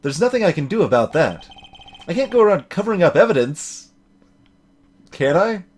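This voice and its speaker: Man playing an anxious young lawyer, hesitant, expressive. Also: high voice, moderate pace, a little hiss or hum. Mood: flustered. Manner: stammering, unsure, dryly resigned. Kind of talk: voiced video game dialogue.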